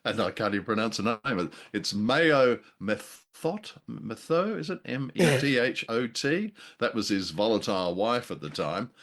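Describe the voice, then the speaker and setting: A man co-hosting a podcast in a conversational, halting and thoughtful discussion style. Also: lowish voice, fairly bright tone. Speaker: podcast co-host. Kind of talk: conversational film history discussion.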